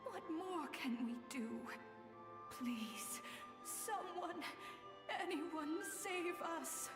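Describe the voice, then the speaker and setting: A woman's voice: very high voice, lively intonation, music in the background, full character voice. An actress playing the ghost of a doomed, loyal soldier in a video game, imploring, with reverb on her voice. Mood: despairing. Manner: slow, imploring. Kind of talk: video game character acting. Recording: echoey long hall reverb.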